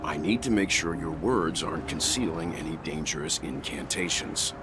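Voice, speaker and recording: An actor playing a stern, suspicious interrogator in a game cutscene, with a commanding, formal manner. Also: male voice, very deep voice, normal speed. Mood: stern, distrustful. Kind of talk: dramatic video game cutscene dialogue. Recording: loud music under the voice.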